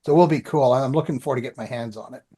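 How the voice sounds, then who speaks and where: Male podcast co-host, Canadian accent, mid-pitched, casual and rambling, self-deprecating. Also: racing, dark tone, husky. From Ottawa area, Canada. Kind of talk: casual chat, personal updates.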